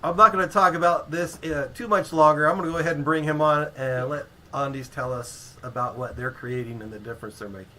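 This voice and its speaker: Man, co-host, casual livestream chat, rambling, joking, very bright tone, light noise. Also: a little high, steady tone, fast talker, few pauses. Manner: rambling, joking, self-correcting. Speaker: co-host, coach. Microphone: headphones.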